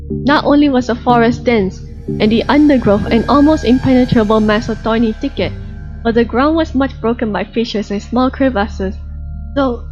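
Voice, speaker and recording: Higher-voiced woman narrating over prominent music. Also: warm tone. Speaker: audiobook narrator. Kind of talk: scripted storybook narration. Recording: dull lo-fi recording, music behind. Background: background music.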